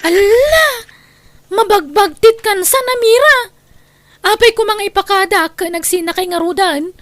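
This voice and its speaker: A woman acting a tart young Ilocano woman in a comedy audio drama. Her voice sits high. She speaks bluntly and practically. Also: measured pace, fairly bright tone, dry recording. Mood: indignant. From Philippines.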